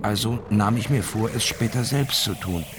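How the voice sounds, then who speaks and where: An actor playing a shaken male academic who soberly recalls being a bombing victim in a measured radio drama monologue. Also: fairly low pitch, background noise, lightly acted. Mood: controlled shock.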